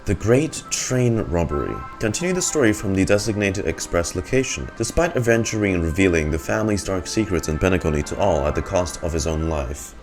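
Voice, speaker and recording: A man streaming his live reaction to a game story in a casual, informal commentary style. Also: sits low. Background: game music.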